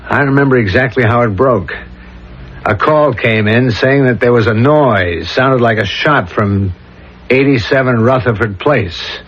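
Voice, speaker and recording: An actor playing a dogged, methodical police inspector in a 1970s radio drama, questioning and reasoning aloud. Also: male voice, mid-range voice, fairly bright tone. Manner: probing, deliberate, methodical questioning. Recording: dull lo-fi old radio recording.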